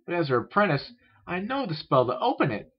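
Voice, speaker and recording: A man voicing a young female unicorn apprentice, excitable, anxious and eager, in a story read aloud. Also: sits high, moderate pace, dull audio, pitched above the performer's own voice. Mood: breathless excitement. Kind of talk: fan fiction read aloud with character voices.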